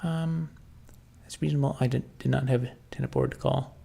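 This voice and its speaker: A man with a Canadian accent chatting casually on a livestream, answering viewers and thinking aloud in halting, restarting sentences. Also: medium pitch, fairly even intonation, gravelly, mellow, slightly rough.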